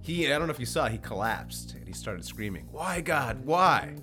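Animated man bantering on a comedy podcast. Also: on the low side, a little fast, soft background music. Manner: laughs often at own jokes, stumbles over words. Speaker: podcast host reading jokes and narration.

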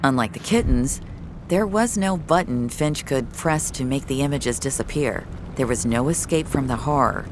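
A woman's voice: extremely low pitch, moderate pace, background noise. A woman reading scripted audio fiction as the narrator.

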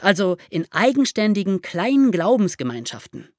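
A woman hosting a podcast, explaining history as lively storytelling in simple, conversational terms. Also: mid-range voice, normal speed, dry recording, clean studio sound. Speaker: podcast host telling history stories.

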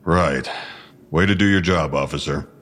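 A police detective in an audio drama interview. His voice sits deep in the male range. He questions formally and curtly, with a stern, dry and sarcastic edge. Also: normal speed, a bit bright.

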